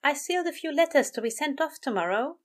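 A woman voicing a gentle, earnest male angel bookseller in an audio story, soothing, polite and a little prim. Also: high voice, animated, fast, voice pushed up.

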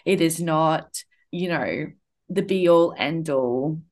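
Low-voiced woman hosting a chatty podcast. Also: takes it slowly. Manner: reflective, uses many fillers like "like" and "um". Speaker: podcast host. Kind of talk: casual podcast conversation.

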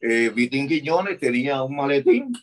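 A man's voice: medium pitch, sing-song, normal speed. An older man, a former wrestling manager answering interview questions and repeating words as he recalls.

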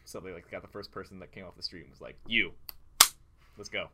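A man's voice: low-pitched, quick pace, bright, forceful tone, a little hiss or hum. A young man in casual conversation as a podcast co-host, earnestly explaining himself. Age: young adult. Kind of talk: casual chat.

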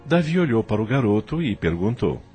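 A man's voice: fairly low pitch, big pitch swings, a little fast, a little mellow, breathy edge, faint music behind. A man narrating a radio soap opera in a scripted, dramatic style.

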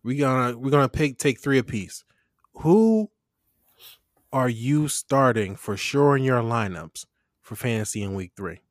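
A man's voice: medium pitch, lively intonation, measured pace, no room sound, clean studio sound. A young man hosting a casual sports podcast, talking in a laid-back slangy way and laughing often. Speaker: podcast host. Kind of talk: casual fantasy football chat.

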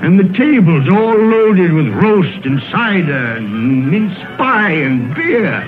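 A man's voice: sits high, sing-song, slow pace, narrow, tinny audio, full character voice. A man acting an old, emotional, remorseful miser in a theatrical old-time radio drama style, exclaiming and repeating his words. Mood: joyful. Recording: old radio broadcast, thin band-limited, music behind.

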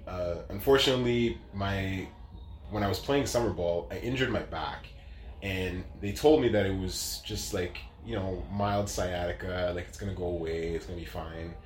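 A man's voice: deep voice, moderate pace, roomy sound, slight background noise. A man in his late thirties telling his story as an interview guest, casual and rambling, with lots of fillers. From Canada. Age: 30s.

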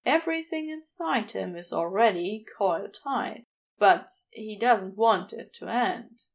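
A woman narrating scripted fiction as an audiobook-style reader, in an intimate, measured storytelling manner. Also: mid-range voice, lively intonation, gravelly. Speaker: podfic reader.

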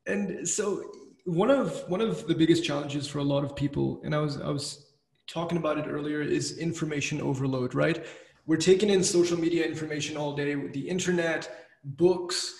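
A man's voice: fairly high pitch, moderate pace, gravelly, a little mellow. A young man hosting an interview, asking curious, enthusiastic questions in a conversational way. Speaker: interview host. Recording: roomy, far from the mic.

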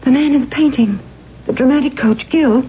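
A woman's voice: high voice, moderate pace, noisy background, natural voice. A woman playing an anxious young aspiring actress in a 1970s radio drama, hesitant and repeating herself as she insists. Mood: shaken, insistent. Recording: dull vintage radio recording.

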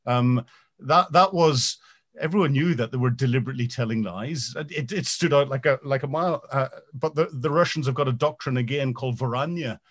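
A man, a military veteran, answering interview questions in a halting way, with many ums and repeated starts, growing animated as he goes. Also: medium pitch.

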